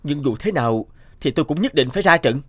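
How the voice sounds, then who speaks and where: Man acting earnest young soldier, higher-pitched. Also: animated, fast, fairly bright tone, no room sound. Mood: determined. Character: earnest young male soldier, respectful and determined.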